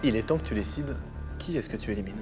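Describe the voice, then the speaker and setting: A man's voice: mid-range voice, fast talker, music in the background. A man hosting a dating show, announcing rounds and steering the contestants. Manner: announcing, directing the game. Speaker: show host.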